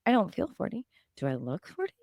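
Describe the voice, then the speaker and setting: A woman in her late thirties, co-hosting a casual podcast chat, her voice hoarse from a recent strain, telling playful stories and laughing often. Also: fairly low pitch, wildly swooping pitch, quick pace, a little mellow, no echo, studio quality. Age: 30s. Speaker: podcast co-host.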